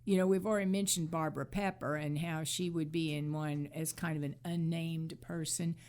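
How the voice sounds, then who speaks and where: A woman's voice: low voice, steady tone, moderate pace, darkish tone. A woman co-hosting a podcast, chatting casually and thinking aloud about old television. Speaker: podcast co-host. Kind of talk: casual chat, nostalgic TV commentary.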